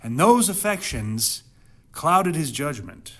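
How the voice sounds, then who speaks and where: A man hosting a Bible podcast, delivering dramatic scripted commentary and scripture reading with emphatic repetition, rhetorical questions and deliberate pauses. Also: fairly high pitch, very animated. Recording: music playing under the voice.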